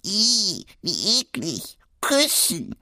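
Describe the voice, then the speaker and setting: A tiny spoon-eared storybook creature, high and squeaky, swinging widely between whiny worry and giddy glee. The voice sits close and dry, with no room around it. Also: male voice, huge pitch swings, extremely slow, sharp tone, no room sound, full character voice. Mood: disgusted. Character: small anxious creature boy with spoon ears. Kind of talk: audiobook character voice.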